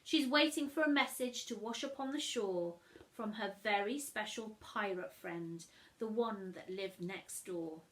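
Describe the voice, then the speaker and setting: A woman reading a storybook aloud to children as a teacher would, animated and emphatic, talking directly to young listeners. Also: medium pitch, measured pace, a little hiss or hum, poor audio.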